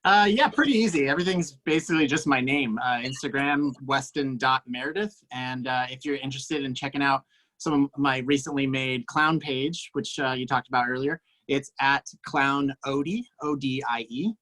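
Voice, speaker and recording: A man's voice: medium pitch, moderate pace, pushed and bright, rough recording. A man talking casually and plainly on a video call.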